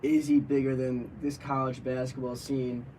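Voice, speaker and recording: A man co-hosting a casual sports debate, talking excitedly and restarting his sentences as he hypes players. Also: mid-range voice, moderate pace, distant mic, a little hiss or hum, low-quality recording. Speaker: co-host.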